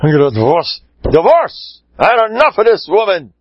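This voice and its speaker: An impatient, fed-up husband, acted out by a male storyteller, with a raised, husky voice that jumps around in pitch. He is insistent and says things over and over, as a character in a spoken parable. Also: animated, measured pace, no room sound, dull audio, heavily acted. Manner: insistent, repetitive, pleading. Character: angry, impatient husband demanding a divorce.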